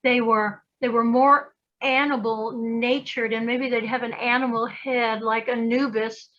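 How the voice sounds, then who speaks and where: A woman's voice: a little high, steady tone, measured pace, highs cut off. A woman guest in a casual conversation, talking animatedly and acting out imagined voices as she makes her points. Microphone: video call.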